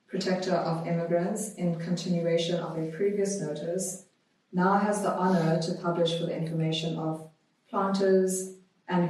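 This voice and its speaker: A woman guest on a podcast, talking conversationally and reading aloud in a measured, deliberate way. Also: on the low side, a little mellow. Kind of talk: conversational interview, reading a historical notice aloud. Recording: very echoey room, distant microphone.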